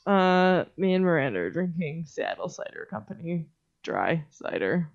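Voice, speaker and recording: A woman's voice: on the low side, big pitch swings, moderate pace, creaky, lo-fi sound. A woman co-hosting a podcast in casual banter with friends, with dry, sardonic quips. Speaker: podcast co-host.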